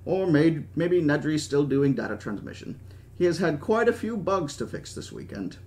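A man's voice: fairly high pitch, animated, normal speed, darkish tone. A man voicing a stubborn, grandiose old park founder in his seventies, with an attempted British accent and a genteel, speechifying manner. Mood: genial, dismissive. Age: 70s.

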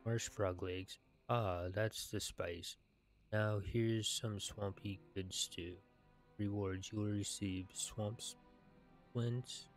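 A man in his thirties with a low voice reads game quest text aloud. His delivery is slow and deliberate, with game music playing behind him. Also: fairly even intonation. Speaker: gaming video narrator. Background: video game music.

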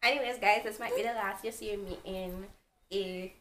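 A woman's voice: medium pitch, steady tone, normal speed, heavy background noise. A young woman joining a casual home vlog, teasing and laughing as she talks. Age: young adult. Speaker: guest co-host. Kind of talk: casual chat trying out accents. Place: small indoor room at home.

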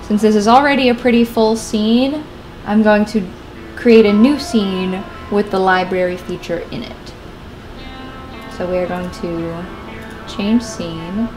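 Slow-paced young woman over guitar music. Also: medium pitch, fairly even intonation, low-quality recording. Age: young adult. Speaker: tutorial creator and channel host. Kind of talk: software tutorial narration with casual asides.

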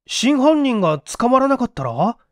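A man performs a courteous, inquisitive gentleman in a vintage mystery drama. His pitch swings widely as he questions things in puzzled, easily surprised tones. The sound is dry and close. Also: big pitch swings, moderate pace, no room sound. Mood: doubtful. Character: Okamoto, polite, puzzled, devoted male apartment manager.

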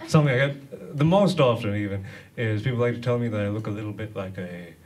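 A man in his thirties from Sri Lanka doing stand-up with a hesitant, deadpan delivery. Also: fairly low pitch, fast, a crowd in the background. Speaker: stand-up comedian. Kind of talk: stand-up comedy monologue. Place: small dark comedy club stage. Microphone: handheld stage microphone. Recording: distant, very noisy live room.

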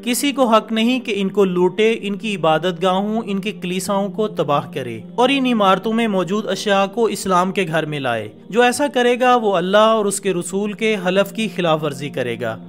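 A man reading scripted documentary narration in a formal, measured storytelling manner. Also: high-pitched, animated. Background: background music.

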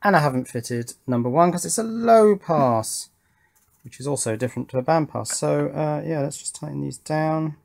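A man's voice: on the high side, normal speed, vocal fry, darkish tone. A man giving casual commentary as an electronics hobbyist, thinking aloud and muttering to himself while he works at an indoor workbench. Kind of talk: casual hands-on build commentary.

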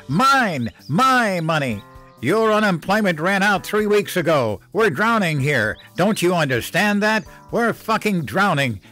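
A shrieking, furious mother hurling profanity at her husband, as a male narrator puts her on. The voice sits very high and loud above a low guitar bed. The storybook reading stays harsh and shrill. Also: exaggerated voice.

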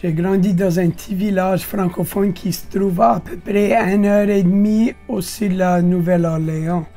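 High-voiced man with Louisiana French accent. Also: steady tone, moderate pace, mellow, faint music behind. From Louisiana, USA. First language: English. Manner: gestures broadly with both hands. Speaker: participant. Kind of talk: casual self-introduction to camera. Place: wood-panelled room indoors.

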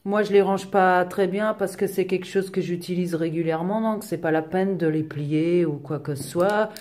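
Mellow-voiced woman, hesitant French tutorial. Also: lowish voice, steady tone, normal speed. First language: Portuguese. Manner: friendly, hesitant with frequent fillers. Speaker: DIY decor vlogger. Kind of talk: tutorial voice-over.

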